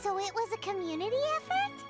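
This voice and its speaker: A woman voicing a small, childlike, bubbly and naive fairy companion in an animated video game, squeaky and eager. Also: a little fast. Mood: curious, eager. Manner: exclaims eagerly, refers to self in third person. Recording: loud music behind the voice. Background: game music.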